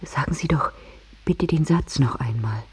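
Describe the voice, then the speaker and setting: An actress playing a soft, breathy carer who urges a confused patient, in a German radio drama. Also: female voice, very deep voice, a little slow, heavily acted. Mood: gentle, pleading. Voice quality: breathy, half-whispered.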